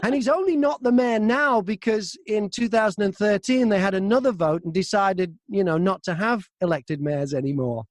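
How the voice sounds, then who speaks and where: A man with a British accent, an audiobook narrator, chatting and telling stories casually in an animated, chatty way. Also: high-pitched, normal speed, few pauses, no echo, clean studio sound. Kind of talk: casual interview storytelling.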